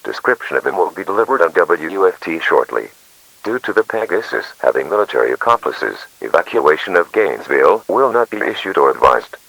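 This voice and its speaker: Very deep, gravelly male emergency announcer. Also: very animated, a little fast, a bit bright, no room sound, poor audio. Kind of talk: scripted emergency alert announcement.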